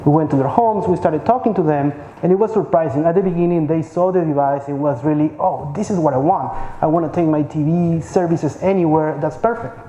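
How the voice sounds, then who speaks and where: A man's voice: a little high, a little fast, hardly any pauses, mellow, a little hiss or hum. A man, giving an informal conference talk and often repeating words as he searches for them. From Peru. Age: 30s. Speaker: conference speaker. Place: large conference hall. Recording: echoey hall with faint ambience.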